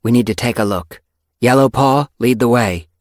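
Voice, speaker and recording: Casting: calm adult warrior cat and tracker, read by a narrator, measured, decisive, animal audiobook. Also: medium pitch, no room sound, clean studio sound, voice pushed up, natural voice.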